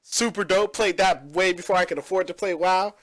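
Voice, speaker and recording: A man's voice: high voice, a little fast, a bit bright. Opinionated young man rambling on a podcast. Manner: rambling, opinionated, many fillers. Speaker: podcast host. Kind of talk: casual chat.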